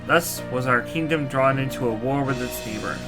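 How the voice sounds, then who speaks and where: A man's voice: medium pitch, normal speed, close to an everyday voice. A man voicing a courtly old knight reborn as a machine, telling his tale in formal, archaic, solemn speech for a fantasy game. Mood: solemn, proud. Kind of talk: fantasy game character dialogue. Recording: music behind the voice. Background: game music.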